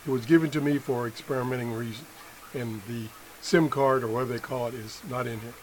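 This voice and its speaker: A middle-aged man giving an unscripted hands-on demonstration as an amateur tester, halting and correcting himself as he thinks aloud. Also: medium pitch, fairly even intonation. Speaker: amateur product tester and video host. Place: indoor room at a workbench. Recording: noisy room with background sound.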